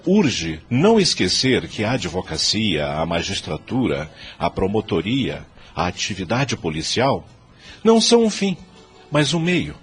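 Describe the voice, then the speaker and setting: An actor playing a solemn, high-minded law professor giving a formal, emphatic graduation speech in an audio drama. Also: male voice, a bit bright. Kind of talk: ceremonial oratory in an audio drama. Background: music.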